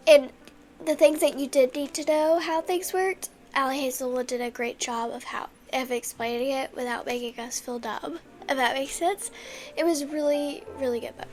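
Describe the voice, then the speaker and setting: A young woman with a stuffy, congested voice, chatting casually and rambling about her favorite books. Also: sits high. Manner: chatty and rambling, with many restarts. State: congested from a cold. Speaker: book vlogger. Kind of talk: casual chat.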